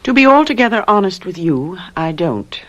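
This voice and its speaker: An actress playing a devoted, desperate wife in a radio drama, in an earnest, theatrical manner. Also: female voice, on the low side, sing-song, moderate pace, fairly bright tone, muffled recording. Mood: guarded, firm. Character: devoted, desperate wife, composed yet pleading.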